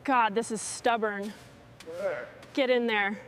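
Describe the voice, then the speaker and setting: Actress as determined female mechanic, fairly high voice, terse orders, scripted workplace drama, loud machinery noise. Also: fairly even intonation, slow. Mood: determined, commanding. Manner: terse, focused, talking to herself and the machine. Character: determined hands-on female mechanic leading a heavy machinery restoration crew. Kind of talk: scripted workplace dialogue with muttering and commands.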